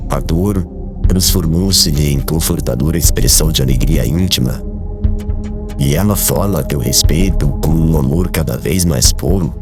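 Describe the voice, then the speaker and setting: A man playing a kindly, grave older man in an audiobook drama, speaking in a measured, formal way. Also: extremely low pitch, over music. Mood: solemn, tender. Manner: solemn, measured, formal literary phrasing.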